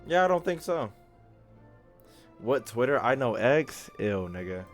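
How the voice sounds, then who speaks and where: A man's voice: medium pitch, big pitch swings, unhurried, music in the background. A man with an American accent giving casual, slangy, profane and teasing livestream commentary as he plays a game. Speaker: game streamer.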